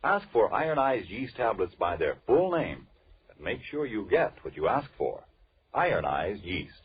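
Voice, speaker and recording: A man working as a 1940s radio announcer, delivering a sponsor pitch in an emphatic, salesman-like way. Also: very animated, moderate pace, slight background noise. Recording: tinny, rough 1940s radio recording.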